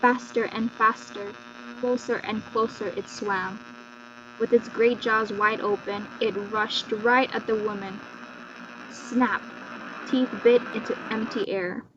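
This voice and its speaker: A woman's voice: fairly high pitch, fairly even intonation, takes it slowly. A woman storyteller giving a scripted, dramatic narration of a folktale. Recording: dull lo-fi recording with loud mains hum.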